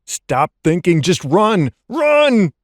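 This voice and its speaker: A man's voice: high voice, sing-song, slow pace, no room sound, studio quality, voice pushed up, exaggerated voice. A man voicing a terrified, guilt-ridden young man fleeing for his life, pleading frantically in an audiobook thriller. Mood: panicked, pleading. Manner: frantic, pleading, urgent repeated exclamations. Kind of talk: audiobook thriller character acting.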